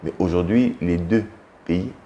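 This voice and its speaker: Man, deep and warm, measured debate guest from home, slight room noise. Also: muffled recording. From Democratic Republic of the Congo. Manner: measured, argumentative, many filler hesitations. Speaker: guest commentator. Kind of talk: televised political debate.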